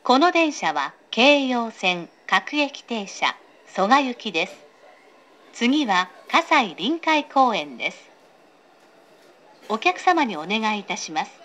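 A woman's voice: fairly high pitch, sing-song, takes it slowly, a bit bright. A woman reading a scripted, pre-recorded train announcement in a polite, formal, carefully enunciated way. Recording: recorded aboard a train, clear background noise.

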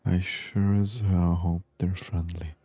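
A man giving soft-spoken ASMR gameplay commentary in a hushed, unhurried murmur, at times near a whisper. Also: low-pitched, little pitch movement, slightly rough, no room sound. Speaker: gaming video host.

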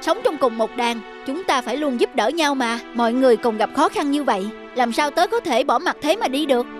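A woman's voice: high-pitched, animated, fairly quick. A woman voicing a kind, earnest, friendly young wolf in a cartoon. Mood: kind, reassuring. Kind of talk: cartoon character acting. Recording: music under the voice.

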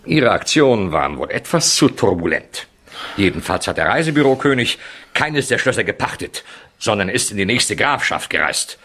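Husky, sardonic chief inspector. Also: male voice, on the low side, sing-song, normal speed, sharp tone, heavily acted. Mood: stern, exasperated. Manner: dry, sarcastic, authoritative. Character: stern, sardonic male Scotland Yard chief inspector. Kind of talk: 1970s German radio crime play acting.

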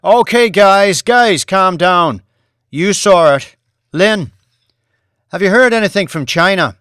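A man's voice: sits high, expressive, takes it slowly, fairly bright tone, clean tone, dry recording, studio quality, pitched above the performer's own voice, exaggerated voice. A man voicing a commanding male news boss in an audiobook, rallying his team with emphatic, clipped orders. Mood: urgent, pressing.

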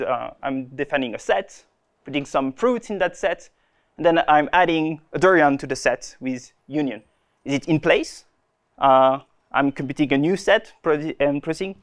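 A young man presenting a technical talk at a conference, pausing and restarting often as he explains. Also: a little high. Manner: hesitant, many restarts and fillers. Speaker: conference speaker. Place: conference room.

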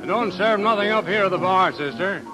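An actor playing a brusque, wisecracking saloon bartender in a 1930s radio drama. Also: male voice, high-pitched, brisk, lightly acted, poor audio. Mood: brusque, cocky. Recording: vintage 1930s radio broadcast with music behind.

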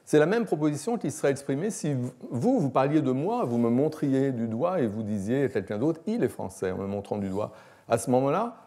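Mellow-voiced professor in his sixties. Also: mid-range voice, sing-song, brisk. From France. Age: 60s. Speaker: philosophy professor. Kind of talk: academic lecture. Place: lecture hall, at a lectern.

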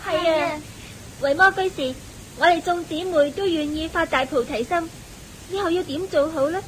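An actress playing an eager, respectful young celestial maiden in a Hong Kong Cantonese radio drama, earnest and inquisitive. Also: female voice, normal speed, fairly bright tone, rough recording. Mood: eager, curious. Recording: hissy, rumbly old radio recording.

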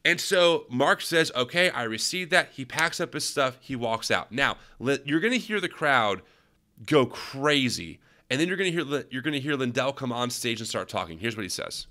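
Bright-voiced man, animated co-host. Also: mid-range voice, normal speed. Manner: animated, restarts a lot, explains and narrates clips. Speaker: podcast co-host. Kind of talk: casual commentary on clips. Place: small room at home. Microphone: studio mic on arm, video call.

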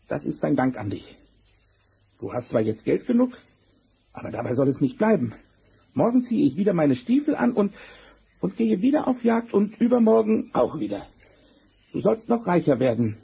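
A man's voice: a little high, gravelly, mellow, slightly rough, full character voice. A man playing a sly, bossy talking tomcat in a fairy-tale radio play, theatrical and wheedling. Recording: noisy vinyl record transfer.

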